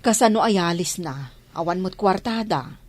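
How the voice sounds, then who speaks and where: An actress playing a judgmental village gossip with a disapproving manner in a radio drama. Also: female voice, lowish voice, expressive, normal speed. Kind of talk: regional radio drama acting.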